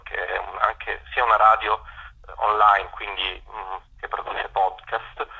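A man being interviewed on radio by phone, explaining things in a halting way with many pauses. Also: fairly low pitch, bright, forceful tone, breathy edge. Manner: hesitant, halting with frequent pauses. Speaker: community radio programmer, interview guest. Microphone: phone call. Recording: dull telephone call.

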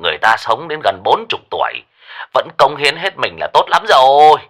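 A man's voice: mid-range voice, wildly swooping pitch, normal speed, vocal fry, fairly bright tone, heavily acted. A man playing a foul-mouthed, bitter old-timer. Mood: scolding. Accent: Northern Vietnamese. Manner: swearing, ranting and scolding. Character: cranky middle-aged male gambler, foul-mouthed and bitter.

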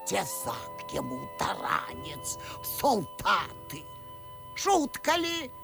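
A woman's voice: fairly high pitch, wildly swooping pitch, gravelly, a bit bright, soft background music, heavily acted. A woman playing a hard-of-hearing, muddled old countess in a radio verse comedy, fussily exclaiming and questioning. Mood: alarmed, fussy. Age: older. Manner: mishearing, exclaiming, drawn-out questions. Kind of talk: classic verse comedy radio play acting.

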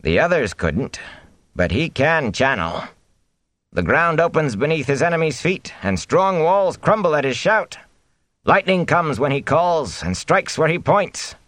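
Casting: crafty male peddler, acted by a man narrating, raised pitch, secretive and theatrical, storybook fantasy. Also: big pitch swings, a little slow, fairly bright tone, dry recording. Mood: sly, casual. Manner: insinuating, falsely casual. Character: sly, theatrical peddler, slyly amused bearer of news.

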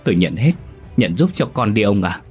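A man's voice: fairly low pitch, very animated, a little fast, a little mellow, soft background music. A man voicing a tearful older village mother who begs her husband to spare their son, in a storybook reading. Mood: pleading. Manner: pleading.

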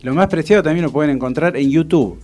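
A middle-aged Argentine man with a Rioplatense accent, hosting a radio interview in a chatty, relaxed and informal way that wanders off into asides. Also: on the high side, fast. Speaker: radio host. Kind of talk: casual radio interview chat.

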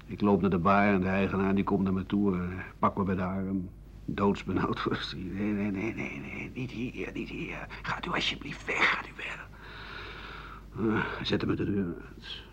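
A man playing a weary, embittered writer of 67 in a radio play, grumbling in halting phrases that trail into breathy murmurs. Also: low-pitched, steady tone, fairly bright tone. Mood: bitter. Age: older. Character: embittered, weary 67-year-old French writer and doctor, pessimistic. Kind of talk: radio play monologue as interview answers. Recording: dull, hissy 1980 radio recording.

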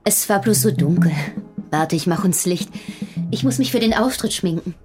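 A woman's voice: lowish voice, expressive, normal speed, loud music behind the voice, close to an everyday voice. A woman playing a nervous, evasive stage performer in a German radio drama, anxious and appeasing.

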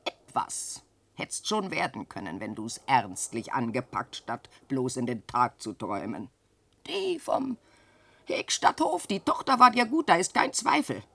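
Dramatically swooping, scolding old widow. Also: female voice, rumbling low, huge pitch swings, a little slow, gravelly, a bit bright, dry recording, heavily acted. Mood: reproachful, wistful. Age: older. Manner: declamatory, nagging and wailing, dramatic swings. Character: scolding, lamenting old peasant widow, long-suffering mother. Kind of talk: theatrical verse radio drama acting.

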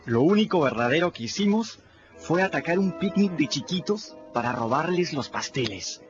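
A man plays a scrappy boy telling his own adventures in a radio drama. He is confiding and chatty, with lively swings in pitch. The sound is a bit muffled, over music. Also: normal speed, natural voice. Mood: candid storytelling. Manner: conversational, confiding, addresses listeners directly. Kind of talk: first-person radio drama narration.

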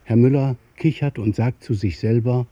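A man narrating a radio play in a measured, literary way. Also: mid-range voice, dark tone, lo-fi sound, lightly acted.

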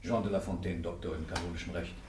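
A man's voice: low voice, fairly even intonation, quick pace, background noise, close to an everyday voice. A man playing an officious canon-law doctor. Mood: coaxing. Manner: coaxing yet officious. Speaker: examining cleric. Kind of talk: classic radio play acting.

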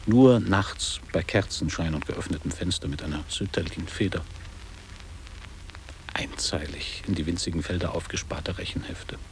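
An actor playing a guarded, wistful middle-aged man looking back on boarding school in a measured, literary radio play delivery. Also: deep voice, slight background noise. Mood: reminiscing, measured. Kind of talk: German radio play acting.

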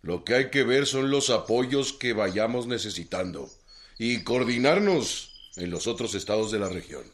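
A man playing a measured, conciliatory regional rebel leader in a radio drama. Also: medium pitch, expressive, slight background noise, natural voice.

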